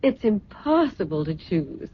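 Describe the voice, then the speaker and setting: An actress playing a bold, scheming middle-aged fashion designer in a radio drama, imperious and excitable, with theatrical breathy exclamations. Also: female voice, lowish voice, a little slow, full character voice. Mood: eager, scheming. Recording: dull old radio recording, music behind.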